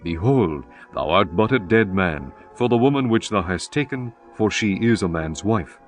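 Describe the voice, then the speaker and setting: A man's voice: lowish voice, animated, normal speed, big-room sound, soft background music, close to an everyday voice. A man voicing a stern, commanding God who warns with solemn authority in a dramatized scripture audiobook. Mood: threatening.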